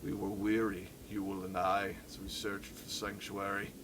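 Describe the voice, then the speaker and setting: Man voicing a grim, slow, battle-weary vengeful warrior. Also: lowish voice, completely flat delivery, noisy background, voice pushed down, lightly acted. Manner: solemn, dramatic, slow declaiming. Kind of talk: dramatic read-along of game journal.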